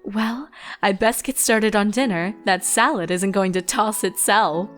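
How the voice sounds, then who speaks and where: A woman's voice: mid-range voice, measured pace, fairly bright tone, soft background music, close to an everyday voice. Woman playing a playful, teasing pony mare.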